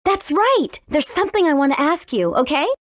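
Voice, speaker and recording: A woman's voice: sits high, animated, normal speed, no room sound, clean studio sound. A woman voicing a confident, cheeky teenage heroine in a video game, breezy and persuasive. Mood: breezy, curious. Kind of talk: video game character acting.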